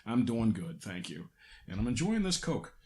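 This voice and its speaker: A man's voice: on the low side, sing-song, moderate pace. A man hosting a casual behind-the-scenes podcast, joking and self-deprecating, with hesitant false starts. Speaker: podcast host and audio drama creator.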